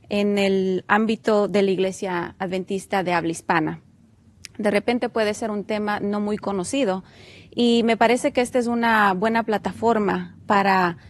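A woman hosting a televised interview, speaking in a formal, courteous way with some hesitations. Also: medium pitch. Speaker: TV host. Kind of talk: hosted interview. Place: television studio.

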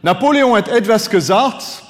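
A man in his fifties from France with a French accent, preaching a sermon with animated bursts of emphasis. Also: high-pitched, normal speed. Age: 50s. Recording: long hall reverb.

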